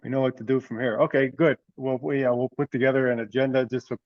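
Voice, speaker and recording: A man of medium pitch leads an informal group discussion as chair. The audio is muffled and lo-fi, with very little room sound, as on a teleconference. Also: level tone, fast talker, no room sound. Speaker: meeting chair. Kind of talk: casual meeting discussion. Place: remote teleconference call. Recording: dull, dry teleconference audio.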